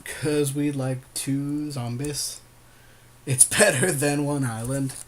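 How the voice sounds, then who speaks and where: Male podcast co-host, medium pitch, joking and slangy, loose conversational game commentary. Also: slow pace. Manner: joking, slangy, swears casually. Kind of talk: casual gameplay commentary with a friend.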